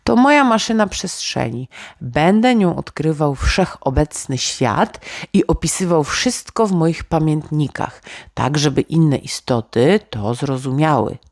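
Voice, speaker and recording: A woman voicing a dreamy, excited little boy inventor in a children's bedtime story, musing aloud eagerly. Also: sits low, a little slow, no echo, clean studio sound. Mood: proudly excited.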